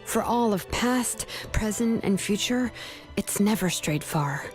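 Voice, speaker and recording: A laid-back adult woman smith in a video game. She talks in a relaxed, gentle and reassuring way, with a voice in the middle range. She sounds caring and unhurried. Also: mid-range voice, soft background music. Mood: comforting.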